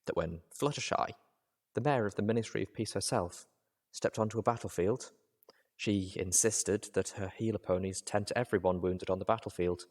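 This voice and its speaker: A man voicing a poised, cool-headed female pony in a story reading, speaking in a measured and gently reproving way. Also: on the low side. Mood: level, earnest.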